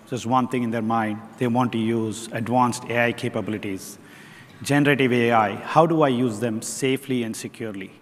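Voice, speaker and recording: Tech executive presenting on a big stage. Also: male voice, medium pitch. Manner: measured, rhetorical questions. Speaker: chief technology officer. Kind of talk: keynote presentation. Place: large conference stage. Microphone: headset mic. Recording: long reverb of a large hall.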